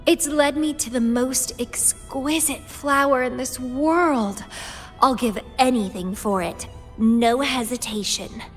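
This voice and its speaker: Slow, teasing, high-voiced obsessive young woman. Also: big-room sound. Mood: intense, devoted. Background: music.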